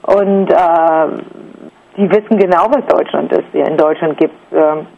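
A German woman in her forties answering interview questions thoughtfully, pausing often with fillers and restarts. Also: deep voice, vocal fry, muffled recording. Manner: hesitant, many fillers and restarts. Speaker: interview guest, artist.

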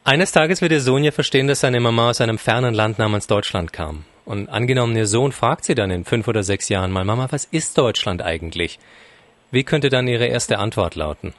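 A man conducting an interview and reading formal, prepared questions in a polite, measured way. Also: mid-range voice, expressive.

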